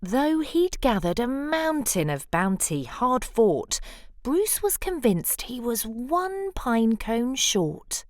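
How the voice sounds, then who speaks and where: Female children's storyteller, playful and dramatic, unhurried delivery, very lively intonation. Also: fairly high pitch, dry recording, studio quality. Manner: dramatic, playful, deliberate phrasing. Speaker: storyteller reading a children's picture book. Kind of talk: expressive rhyming storybook read-aloud.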